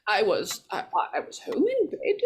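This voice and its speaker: A woman's voice: mid-range voice, huge pitch swings, moderate pace, a little hiss or hum. A woman playing a nervous, pleading, scheming woman in 1940s radio horror style, stammering and repeating words.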